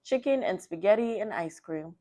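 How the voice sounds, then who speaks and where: A woman's voice: medium pitch, expressive, normal speed, dark tone, natural voice. Curious, eager little storybook girl done by a woman. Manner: questioning, eager.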